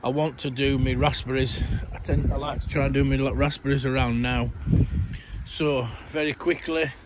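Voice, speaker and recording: Man, middle-aged, hoarse, rambling gardening vlogger, noisy outdoor recording. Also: medium pitch, steady tone, normal speed. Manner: chatty, rambling, tired. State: croaky voice, coughing. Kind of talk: casual garden update to camera.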